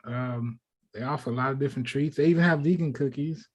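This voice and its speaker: A man's voice: mid-range voice, fast talker. A man co-hosting a talk show in a casual, conversational style. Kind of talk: casual chat.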